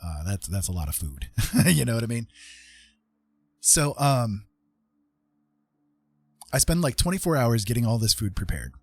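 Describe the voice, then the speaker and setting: A man's voice: mid-range voice, very animated, a little slow, long pauses, no room sound. A man hosting a livestream, telling a personal story in a casual, rambling and informal way. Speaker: livestream host.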